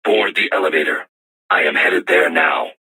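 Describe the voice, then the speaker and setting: A man voicing a solemn self-aware robot war machine in a video game, with formal, measured, stilted diction and robotic processing on the voice. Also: sits low, bright, forceful tone, slight background noise, full character voice. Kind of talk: scripted video game voice acting.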